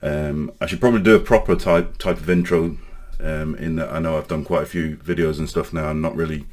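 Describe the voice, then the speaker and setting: A man in his forties from Northern Ireland speaks in a very deep, low voice, his accent now mostly English. He talks in a halting, hesitant way, restarting phrases often, and his tone stays flat and even. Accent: English with Northern Irish traces. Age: 40s. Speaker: online safety vlogger. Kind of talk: personal storytelling to camera.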